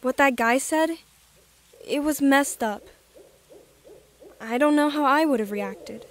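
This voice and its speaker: A woman's voice: sits high, slow pace. A woman playing a frank, protective seventh-grade twin sister in an audio drama, direct and reassuring. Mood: earnest, reassuring.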